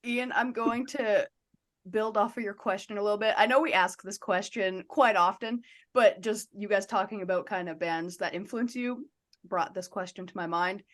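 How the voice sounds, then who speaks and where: A woman co-hosting a podcast, asking questions in a measured, thoughtful way. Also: medium pitch. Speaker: podcast co-host. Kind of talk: casual interview.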